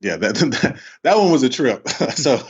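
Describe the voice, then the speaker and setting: A man's voice: medium pitch, very animated, a little slow, slightly rough. A man hosting a podcast, chatting casually and informally, often repeating himself as he goes. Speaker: podcast host. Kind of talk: casual interview chat. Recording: slightly dull, lo-fi microphone.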